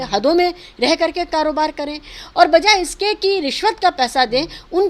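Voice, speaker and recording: Woman, notably high voice. Interview guest arguing social and political points earnestly, with English phrases mixed in. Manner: emphatic, argumentative, mixes in English words and phrases. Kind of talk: earnest opinion interview, spontaneous speech.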